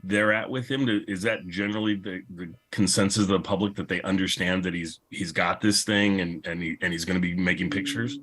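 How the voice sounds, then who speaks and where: A man moderates a discussion in a fairly low voice. He speaks conversationally, framing questions for the other panelists. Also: fairly even intonation, a little fast, few pauses, fairly bright tone.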